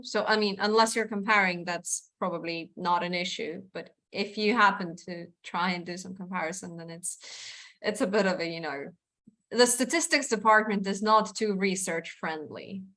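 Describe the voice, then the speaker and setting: A woman's voice: mid-range voice, a bit bright. A woman speaking as a panelist in an academic discussion, chatty and self-deprecating, with many fillers.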